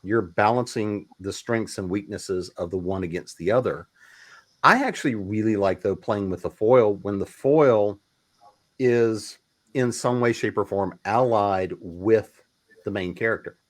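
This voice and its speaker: Author panelist, male, lowish voice, loose talker who riffs. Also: measured pace. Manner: loose, conversational, with fillers and restarts. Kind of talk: casual panel discussion.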